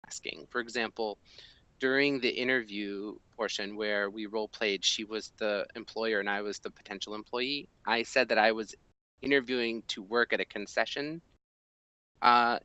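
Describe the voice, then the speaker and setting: A man in his thirties, a language teacher, talking through an assessment form at his desk, thinking aloud with many ums and pauses. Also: mid-range voice, bright, forceful tone, no room sound, rough recording. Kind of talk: screen-recorded walkthrough of an assessment. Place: small indoor room at a desk.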